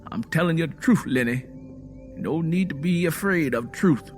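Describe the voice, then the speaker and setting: A man's voice: a little high, animated, normal speed, soft background music, voice pushed up, lightly acted. A man voicing a stern, embittered American Southern man with a blunt, defiant rural way of talking, in a narrated story. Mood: bitter, defiant.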